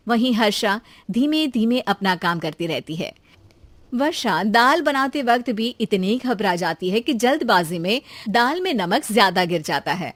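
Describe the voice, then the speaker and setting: A woman's voice: a little high, dry recording. A woman reading a scripted story as its narrator.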